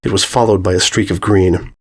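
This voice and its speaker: A man's voice: sits low, fairly even intonation, brisk, husky. A man giving a scripted narration of a horror fan fiction story.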